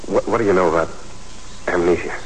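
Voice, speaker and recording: An actor playing a troubled, wary amnesiac war veteran in a 1940s radio drama, speaking in terse, self-deprecating confessions. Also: male voice, sits low, quick pace, lightly acted. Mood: troubled, confessional. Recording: noisy 1940s radio recording.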